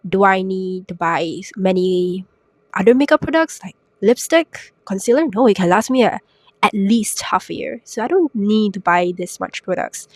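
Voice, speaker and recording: A young woman hosting a solo podcast while sick, her voice raspy and congested, chatty and self-mocking with lots of rhetorical questions. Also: medium pitch, lively intonation, moderate pace, dry recording, studio quality. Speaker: podcast host. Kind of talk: casual solo podcast talk. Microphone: new podcast microphone.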